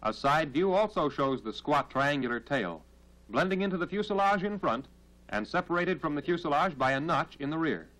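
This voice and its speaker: An American man narrates a military training film from the 1940s. His voice sits fairly high and moves through animated rises and falls. He delivers formal, measured instruction, heard on a vintage film soundtrack. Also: poor audio.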